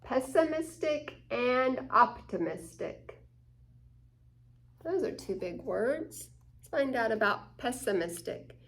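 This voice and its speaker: A woman reading a picture book aloud to children as a story time host, expressively and deliberately, explaining things simply. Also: on the high side, lively intonation. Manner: slow, deliberate, acting out quoted lines. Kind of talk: expressive children's read-aloud with asides to listeners.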